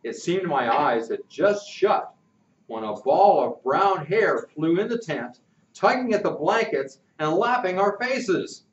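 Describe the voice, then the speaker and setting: Older guy reading a kids' chapter book, lively up and down voice, echoey classroom, mic way off. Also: a little high, takes it slowly. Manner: lively, expressive reading with asides to listeners. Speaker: storyteller. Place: indoor classroom-like room with posters. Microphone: far camera. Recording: echoey room, distant dull mic.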